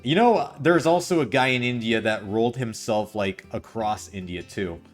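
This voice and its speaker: A man's voice: mid-range voice, normal speed, soft background music. A Canadian man streaming, reading a visual novel aloud and bantering with chat in an ironic, playful way. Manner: ironic, playful, self-mocking.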